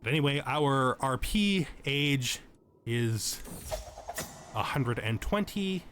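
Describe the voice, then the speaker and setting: A man's voice: mid-range voice, expressive, slow pace, a bit bright. Man narrating a game tutorial, noisy background. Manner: explanatory, thinking aloud and correcting himself. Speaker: let's play host. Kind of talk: casual game tutorial commentary.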